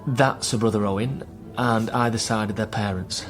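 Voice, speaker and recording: A man's voice: lowish voice, steady tone, normal speed, close to an everyday voice. An actor playing a worried, guarded British man in a naturalistic radio drama, speaking in an earnest, hesitant way. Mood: guarded, subdued. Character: worried, guarded divorced son caring for troubled father. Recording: faint music under radio drama.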